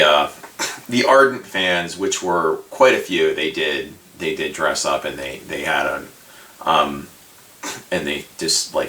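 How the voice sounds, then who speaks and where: Deep-voiced man rambling casually in an echoey room. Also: bright, forceful tone. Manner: halting, rambling, tentative. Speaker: video host. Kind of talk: casual unscripted retrospective talk. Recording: echoey room, distant microphone.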